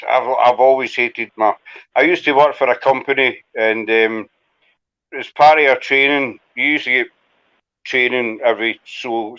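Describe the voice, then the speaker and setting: A man's voice: medium pitch, a bit bright, smooth. A Scottish man hosts a loose, rambling chat in a mid-range voice, poking fun at himself as he goes. The audio is dull and lo-fi. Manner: chatty, rambling, self-deprecating. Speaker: host. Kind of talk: casual live chat.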